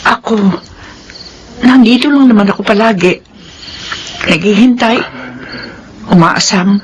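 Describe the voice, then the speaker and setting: A woman's voice: medium pitch, a little slow, close to an everyday voice. Actress as faithful long-suffering woman, sorrowful, resigned, radio serial, faint noise behind. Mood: wounded, resigned. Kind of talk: Filipino radio melodrama acting.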